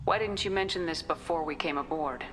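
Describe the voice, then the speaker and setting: A low-pitched actress as a female military commander, set over music. She is resolute and direct, putting questions in a controlled, businesslike way, as in a video game. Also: a little fast, close to an everyday voice. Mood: firm, businesslike. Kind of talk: video game character acting.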